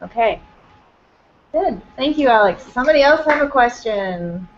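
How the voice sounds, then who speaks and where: Quiet-voiced woman teacher. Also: fairly high pitch, lively intonation, moderate pace, slight background noise. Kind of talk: classroom video call host. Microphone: laptop. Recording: dull classroom audio.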